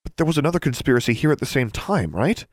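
Man as excitable young schoolgirl, raised pitch, insistent, dramatic, lively swoops, storybook reading. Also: quick pace, no echo, clean studio sound. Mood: curious, eager. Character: stubborn, excitable schoolgirl sure of conspiracies.

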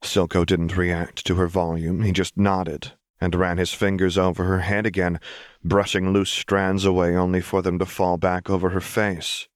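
A man narrating a scripted story as an audiobook reader, in a measured, deliberate manner. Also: deep voice, flat delivery, dry recording, studio quality.

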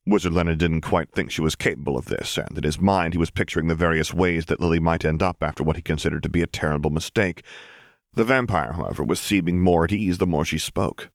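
A man's voice: sits low, quick pace, no room sound, studio quality. A man reading scripted fiction as an audiobook narrator.

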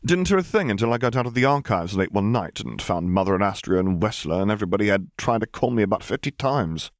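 A man's voice: on the low side, animated, quick pace, no echo, clean studio sound. A man voicing a gangly, jocular younger academic in an audiobook, chattering in an effusive, slightly flustered way. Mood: chatty.

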